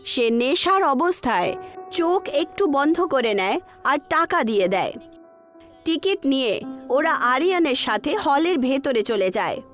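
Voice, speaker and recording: A woman narrates a scripted horror tale in a high-pitched voice. Her delivery swings widely up and down, with music playing behind her. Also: animated, unhurried, a bit bright.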